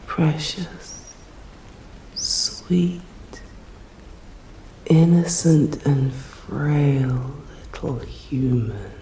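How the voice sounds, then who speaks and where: English-accented female vampire, voiced by a woman for ASMR roleplay: stern yet protective, hushed and formal, very unhurried, with a reverberant room sound. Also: low-pitched, a little hiss or hum, full character voice. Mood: hushed, tender. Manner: slow hushed whispering, formal old-fashioned phrasing.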